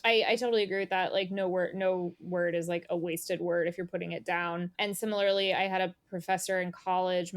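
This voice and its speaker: A woman's voice: on the low side, dry recording. A woman speaking as an interviewed editor, talking casually and thoughtfully in an informal, conversational way. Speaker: magazine editor being interviewed.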